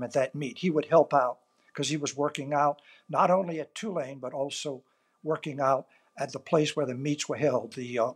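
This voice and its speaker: An older man from South Louisiana with a Cajun accent, a native Cajun French speaker, answering interview questions in an earnest, measured storytelling way. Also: mid-range voice, breathy edge. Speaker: author and interview guest.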